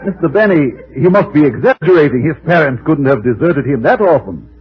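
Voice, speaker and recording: Actor as formal psychiatrist, highish lively voice. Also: male voice, fairly quick, lightly acted. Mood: puzzled. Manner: formal, hesitant, pondering. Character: deliberate, inquisitive male psychiatrist. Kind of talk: 1950s radio comedy acting. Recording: dull, faintly noisy old radio recording.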